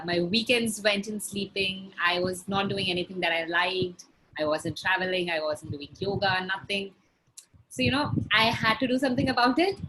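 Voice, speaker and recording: A woman's voice: medium pitch, pushed and bright, poor audio. A young woman speaks Indian English in a conversational way. She laughs lightly between points and uses a few fillers. There is a faint noise under her voice. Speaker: guest, blogger. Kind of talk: conversational interview answers.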